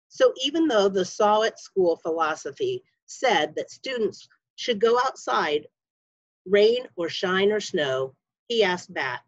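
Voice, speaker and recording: A woman, a school media specialist, reading a children's chapter book aloud with expressive storytelling and lifted voices for the dialogue. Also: lowish voice, slightly rough, no echo, muffled recording.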